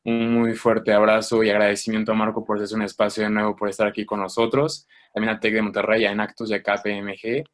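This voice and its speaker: A man's voice: lowish voice, monotone, fast, few pauses. A man co-hosting an online interview, formal and welcoming in his greetings. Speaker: co-host, interviewer.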